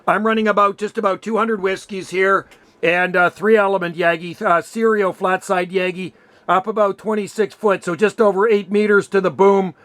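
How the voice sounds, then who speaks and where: A man's voice: sits high, fairly even intonation, moderate pace, a bit bright, dry recording. A man talking casually and colloquially as an amateur radio operator, often affirming what he has heard. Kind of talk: casual radio contact chat.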